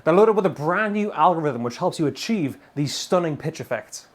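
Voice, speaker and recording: A man's voice: a little high, lively intonation, brisk. A middle-aged British man giving an enthusiastic, emphatic and colloquial gear demo to camera. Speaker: guitar gear demonstrator. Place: indoor room full of guitars and amplifiers.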